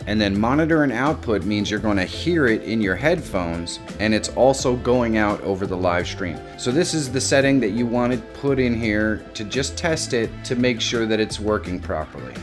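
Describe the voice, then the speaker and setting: A middle-aged man hosting a casual step-by-step software tutorial. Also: lowish voice. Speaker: tutorial host. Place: small home room, indoors.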